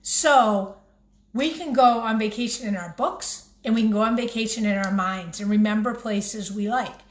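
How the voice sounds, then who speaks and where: A woman teacher gently and encouragingly talking young children through a craft in simple words as she demonstrates it. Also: mid-range voice, normal speed. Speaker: primary school teacher leading a craft. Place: home kitchen, by the refrigerator. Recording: echoey room with faint background noise.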